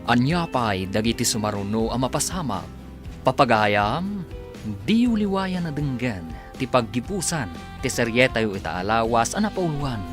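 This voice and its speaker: Male radio drama announcer over music. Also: mid-range voice. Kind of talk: scripted dramatic credits narration. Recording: prominent music under the voice.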